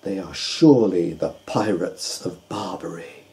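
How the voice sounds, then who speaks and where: A sleepy, loyal storybook dog, as a man voices him. The voice drops low and drags each word out in a slow growl. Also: mellow.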